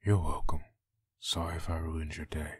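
A man playing a brooding, heartbroken undead soldier in a comic dub, very husky and breathy, somber and halting. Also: a bass voice, little pitch movement. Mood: mournful. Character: brooding, heartbroken dead soldier turned hellspawn.

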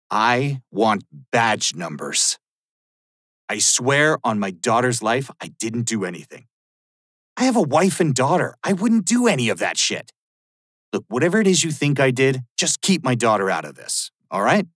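Sleazy American rich crook, swinging pitch. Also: male voice, a bit bright, no echo, studio quality. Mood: bargaining, defensive. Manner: foul-mouthed, blustering. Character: sleazy, arrogant rich male criminal, indignant and evasive. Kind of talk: video game voice lines. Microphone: close studio mic, dry.

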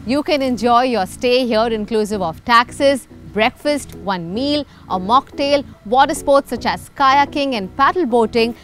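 A woman in her thirties with an Indian accent, chatty and enthusiastic, hosting an upbeat promotional travel vlog. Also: high voice. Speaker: travel show host. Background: background music.

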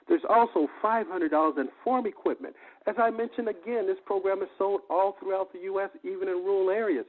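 The high, raspy-edged male voice you'd hear dialing into an evening business opportunity call, emphatically selling point after point. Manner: emphatic, repetitive, rhetorical questions. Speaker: sales presenter on a conference call. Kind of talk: sales pitch explaining benefits. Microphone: telephone. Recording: muffled phone conference line, lo-fi.

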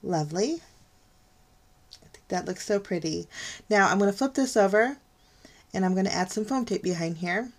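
A woman's voice: mid-range voice, lively intonation, moderate pace, pauses often. A middle-aged woman hosting a card-making tutorial, talking chattily through each step with lots of ums and gushing over how pretty things look. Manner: chatty, gushing, frequent ums and light laughs. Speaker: card-making channel host. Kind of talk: casual crafting tutorial voice-over. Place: craft desk, overhead camera on hands.

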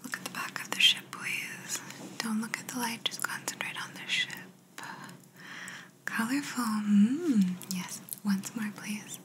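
A young woman doing a soft-spoken, whispered ASMR roleplay as a calm, playful alien examiner, close to the microphone, gentle and reassuring. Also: fairly high pitch, measured pace. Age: young adult.

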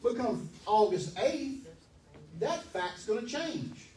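Pastor, male, forties: high, lively, emphatic sermon delivery into a handheld mic, heard from across an echoey church hall. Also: slow pace, distant mic, slight background noise. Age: 40s. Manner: animated, emphatic, pacing the stage. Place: church hall, medium-sized, indoors.

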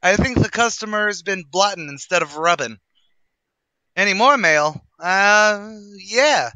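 A man's voice: sits high, expressive, measured pace, bright, forceful tone, dry recording, exaggerated voice, low-quality recording. An actor playing a brash, boastful male tavern manager, in a wisecracking 1940s radio comedy style. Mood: breezy.

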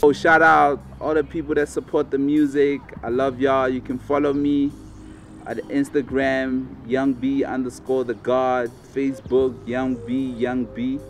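A young man from South Africa, a rapper, talking in a relaxed, casual interview outdoors on a lawn, recorded by a camera close by. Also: medium pitch, a little slow, faint music behind. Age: young adult. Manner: relaxed and easygoing, with fillers and restarts. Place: outdoors on a lawn by a brick building.

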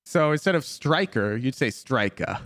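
A man streaming live, chatting casually with his viewers while reviewing gameplay, in an animated way that jumps to loud exclamations. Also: medium pitch, normal speed.

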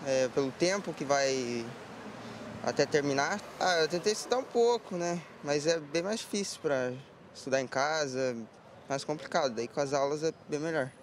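A man's voice: a little high, moderate pace. A young man with a Brazilian accent answering a street interview as a student. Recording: faint outdoor street noise.

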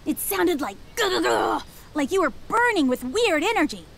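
Bubbly brash young fighter girl from a video game, eager, swoopy high voice. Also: very animated, moderate pace, a little hiss or hum, full character voice. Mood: thrilled. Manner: exuberant, eager, breezy. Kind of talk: animated video game voice acting. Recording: loud music behind the voice.

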